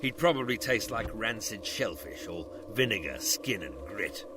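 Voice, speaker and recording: A man's voice: on the low side, big pitch swings, moderate pace, noisy background. A man playing a hungry, menacing nightmare villain with a theatrical, sneering, genteel manner in an audio drama. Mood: menacing, angry. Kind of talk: dramatic audio fiction acting. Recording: loud music under the voice.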